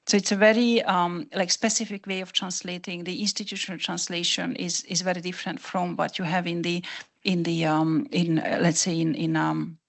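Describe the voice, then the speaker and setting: A woman's voice: on the low side, little pitch movement, normal speed, creaky. A woman whose first language is Hungarian, answering a question on a conference panel in an earnest, explanatory way with frequent restarts.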